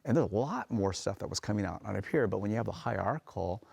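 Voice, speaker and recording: A man's voice: on the low side, lively intonation, fast, creaky, darkish tone, husky. A man on a panel telling anecdotes with stumbling restarts. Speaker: panel speaker, tour guide. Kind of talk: panel discussion.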